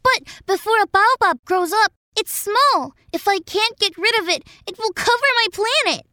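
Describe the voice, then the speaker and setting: A woman plays a little storybook boy prince with a very high, bright voice. He is innocent, gentle and earnest, childlike in every line. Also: lively intonation, normal speed, dry recording, clean studio sound, full character voice. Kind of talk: acted children's audio fairy tale.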